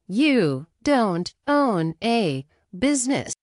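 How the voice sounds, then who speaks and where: A woman playing a firm, curt, unbothered woman in a measured, matter-of-fact reading of text messages. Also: fairly high pitch, big pitch swings, no echo, studio quality, exaggerated voice.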